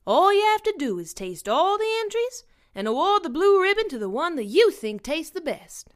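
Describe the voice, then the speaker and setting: A woman's voice: extremely high pitch, sing-song, normal speed. A woman voicing a cheerful, businesslike cartoon fish organizer, proper and upbeat. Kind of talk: cartoon audio drama acting.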